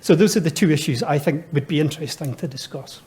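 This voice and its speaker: A man's voice: a little high, quick pace, noisy background. A man in his fifties speaking as a panelist at a live discussion, good-humoured and chatty, with many fillers and restarts. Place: lecture hall before an audience.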